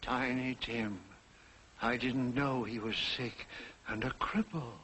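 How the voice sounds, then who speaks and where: An actor playing a frightened, repentant old miser in a 1940s television drama, with theatrical, pleading delivery. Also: male voice, medium pitch, fairly even intonation, slow, fairly bright tone, slight background noise. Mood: anxious concern. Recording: old 1940s TV soundtrack with music.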